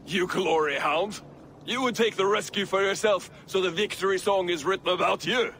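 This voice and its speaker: An actor playing a boastful, gruff Viking warrior in a game, blustering and mocking. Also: male voice, high-pitched, normal speed, fairly bright tone, a little hiss or hum. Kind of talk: dramatic game cutscene acting.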